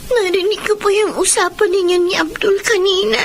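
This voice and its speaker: A woman's voice: very high voice, fairly even intonation, fairly quick, a little hiss or hum. An actress playing an earnest, defiant fifteen-year-old girl in a Filipino melodrama, plaintive, with a Filipino accent. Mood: tearful, indignant. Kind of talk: Filipino radio-style melodrama acting and narration. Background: prominent music.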